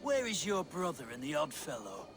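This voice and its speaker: A man's voice: fairly high pitch, wildly swooping pitch, moderate pace, over music. A man playing a blunt, earthy Saxon rebel leader in a video game cutscene, plain-spoken and colourful. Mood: grim resolve.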